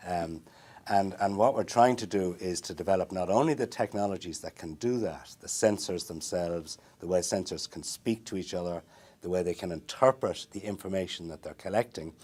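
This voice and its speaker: A man's voice: low voice, normal speed, darkish tone, breathy edge. A man, explaining ideas in long, thoughtful sentences during an interview. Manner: thoughtful, many fillers and restarts, long building sentences. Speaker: marine research interviewee. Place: small indoor interview room.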